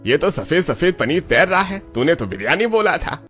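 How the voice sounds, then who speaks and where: A man voicing men in a cartoon story, with lively, expressive delivery. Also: on the high side, moderate pace, soft background music. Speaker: voice actor voicing several men. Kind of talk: cartoon dialogue.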